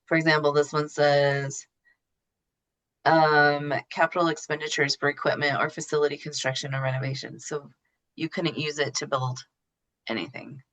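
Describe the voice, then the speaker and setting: A woman's voice: deep voice, flat delivery, normal speed, a bit bright. A woman explaining things as a podcast guest in casual, informal interview talk. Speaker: podcast guest explaining her know-how.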